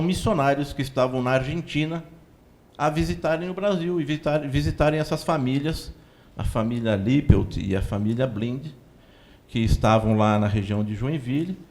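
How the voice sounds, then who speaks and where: A man with a Brazilian accent, a church spokesman giving long, steady explanatory answers in a TV interview. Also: a little high. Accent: Brazilian Portuguese.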